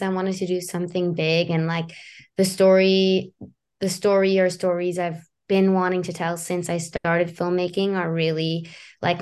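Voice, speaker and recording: A young woman in her twenties, a writer-director guest, telling stories in a quick, chatty way with fillers. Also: lowish voice, darkish tone, clear, resonant tone. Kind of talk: casual interview answers.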